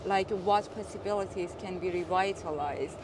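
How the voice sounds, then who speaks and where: Interviewer asking rambling questions, lots of uhs and likes, mid pitch, street noise clearly in the background. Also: moderate pace. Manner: hesitant, many fillers. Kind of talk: casual interview. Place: outdoors at an art exhibition.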